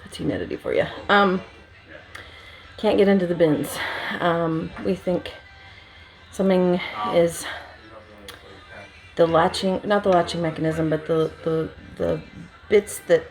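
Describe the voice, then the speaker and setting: A woman's voice: fairly low pitch, unhurried, creaky, long pauses, darkish tone, faint music behind. A woman casually narrating renovation work as a vlogger, informal and thinking aloud. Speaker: vlogger documenting a bus renovation. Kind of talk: casual vlog narration while working. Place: inside a bus being converted. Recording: noisy on-site recording with radio playing. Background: radio and work noise.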